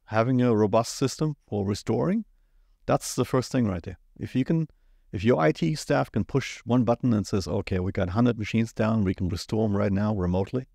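German-accented man, low, dry studio voice. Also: a little fast, creaky, dry recording. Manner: relaxed, rambling with many fillers. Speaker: IT company owner, podcast co-host. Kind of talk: casual podcast chat. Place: small studio set. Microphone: studio mic on boom at the mouth.

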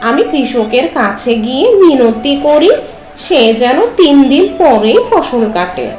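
A steady, kindly male crow from a cartoon, as a woman puts him on, speaking slowly and reassuringly. The voice sits in a roomy space with music behind. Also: high voice. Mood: calm. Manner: calm, reassuring. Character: helpful male crow neighbour, calm.

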